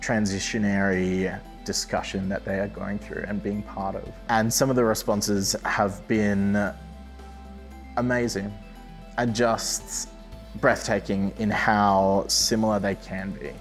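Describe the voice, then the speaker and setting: A man's voice: fairly low pitch, level tone, slow pace. A man, a youth arts director, speaking in a reflective, explanatory documentary interview. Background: soft music.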